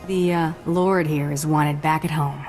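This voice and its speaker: A deadpan female bounty hunter. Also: sits low, measured pace, faint music behind. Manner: dry, deadpan.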